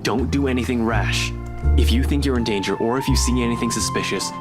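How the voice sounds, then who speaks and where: Male detective, acted by a man, mid-range, curt, earnest, no-nonsense, video game role with music very loud behind. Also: mid-range voice, brisk, lightly acted. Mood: stern, concerned. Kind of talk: visual novel game voice acting.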